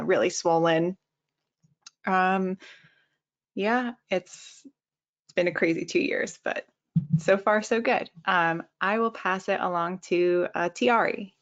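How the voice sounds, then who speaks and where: A woman's voice: lowish voice, lo-fi sound. A woman in her late twenties introducing herself briefly and plainly on a panel. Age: 20s.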